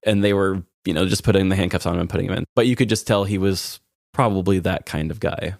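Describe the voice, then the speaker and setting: A man's voice: sits low, steady tone, fast, gravelly, no echo, clean studio sound. A man co-hosting a podcast, telling stories in a casual, conversational way and quoting people as he goes. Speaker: podcast co-host. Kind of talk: casual true-crime comedy chat.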